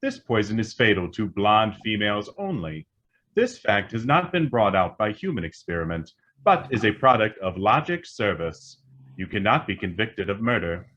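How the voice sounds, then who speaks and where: An actor playing a calm talking computer appliance, formal and impersonal like an announcer, in a radio drama. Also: male voice, lowish voice, normal speed, muffled recording. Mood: impersonal, matter-of-fact. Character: calm male talking computer appliance giving deadly advice.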